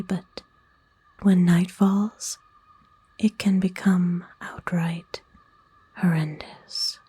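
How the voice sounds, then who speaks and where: A woman acts out a kind witch of the woods for an ASMR roleplay. Her voice is a soft, extremely breathy whisper, mellow in tone and a little low. She speaks in a soothing, gently old-fashioned and playful way, like a caring host. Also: dry recording, heavily acted. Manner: soothing, folksy, unhurried. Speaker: ASMR voice actor.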